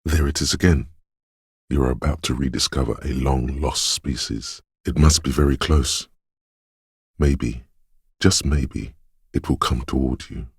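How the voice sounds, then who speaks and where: A man voicing a brooding, cryptic inner voice of intuition in a video game, speaking in a hushed, deliberate, portentous way. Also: a bass voice, fairly even intonation, breathy edge, dry recording, clean studio sound, full character voice. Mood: dreamy, searching. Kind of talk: scripted video game narration.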